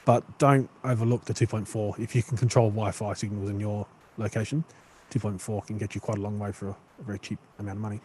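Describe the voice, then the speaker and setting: A man on a tech panel giving quick practical gear advice with a few fillers. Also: fairly low pitch, flat delivery, a little mellow, a little hiss or hum. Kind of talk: casual expert advice.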